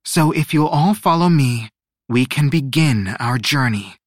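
A man's voice: mid-range voice, expressive, a little slow, dry recording, clean studio sound. A man voicing a composed, authoritative woman who leads young competitors in an audiobook, speaking in a formal, firm way.

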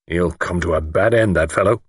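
A man's voice: low voice, brisk, breathy edge, no room sound, studio quality. A man voicing a pompous, easily outraged older English lord in an audiobook, spluttering with indignation and self-importance. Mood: indignant.

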